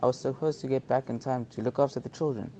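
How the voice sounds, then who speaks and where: A man's voice: mid-range voice, fairly even intonation, fast, warm tone, natural voice. A young man acting a chatty, flippant husband held hostage in an amateur radio drama. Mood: panicked, indignant. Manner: flippant, talkative, complaining. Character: cheeky, chatty bank hostage and harried husband. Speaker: student actor.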